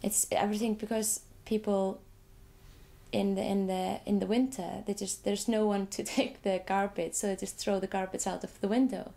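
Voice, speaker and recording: Soft-spoken, hesitant Icelandic woman. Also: medium pitch, level tone, normal speed, creaky. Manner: hesitant, with many restarts and repeated words. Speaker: artist. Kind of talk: interview, describing her photographs.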